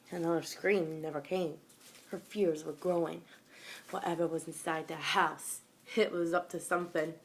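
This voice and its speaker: A woman's voice: on the low side, a bit bright. A young woman giving an amateur reading of a fan fiction story, narrating into a phone. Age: young, school age.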